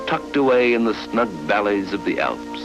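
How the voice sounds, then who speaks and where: Male narrator, low register with lively swings, formal fifties instructional-film storytelling over music. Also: moderate pace, low-quality recording. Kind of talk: scripted 1950s educational film narration, storytelling. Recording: vintage 1950s film soundtrack.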